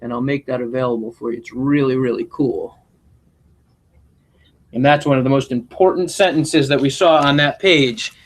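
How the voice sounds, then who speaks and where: A man's voice: medium pitch, moderate pace. A man, a school principal, reading a children's picture book aloud expressively and chatting to young listeners. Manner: expressive, engaging listeners with questions.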